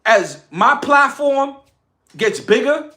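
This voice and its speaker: A man's voice: extremely high pitch, very animated, slow pace, sharp tone. A man giving an impassioned, boastful livestream monologue, speaking emphatically and repeating phrases for effect. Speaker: social media content creator on a livestream.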